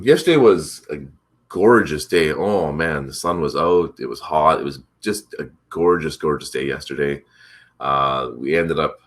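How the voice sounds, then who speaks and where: A man hosting a casual morning chat, rambling with many fillers, his voice congested and a bit rough from allergies. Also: rumbling low, a bit bright. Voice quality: congested, slightly rough throat. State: stuffed up from allergies. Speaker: vlog host.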